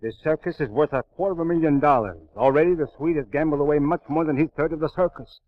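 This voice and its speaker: A man's voice: fairly high pitch, quick pace, natural voice. Brief: male actor as a formal, anxious circus owner in an old radio play, dull old recording. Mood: earnest. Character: earnest middle-aged male circus owner. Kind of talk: 1940s radio drama acting.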